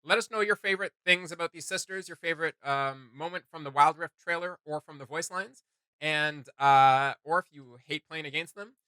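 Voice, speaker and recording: A male co-host with a sharp, very bright voice on the higher side, captured close and dry. He chats casually and animatedly, rambling through his thoughts and leaning playfully on his emphatic points. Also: normal speed, smooth, no echo. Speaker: co-host of a reaction video channel. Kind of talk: casual chatty reaction commentary.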